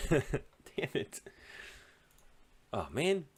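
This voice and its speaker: A man's voice: medium pitch, wildly swooping pitch, extremely slow, husky. An Australian man talking casually while playing online chess, rambling and thinking aloud, often laughing. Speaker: chess streamer.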